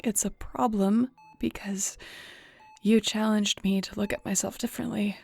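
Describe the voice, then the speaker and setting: A woman's voice: medium pitch, steady tone, moderate pace, creaky, darkish tone, breathy edge. A woman playing a troubled, stubborn young werewolf leader in an audio roleplay drama, speaking in an emotional, confessional way. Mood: scared, vulnerable. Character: troubled female werewolf alpha, hurt, stubborn, self-doubting.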